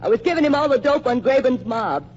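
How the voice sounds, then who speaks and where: A woman's voice: high-pitched, expressive, fast talker, a little mellow, a little hiss or hum. An actress playing a frightened but defiant young woman spy in 1940s radio acting style. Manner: defiant and breathless. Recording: muffled 1940s radio recording.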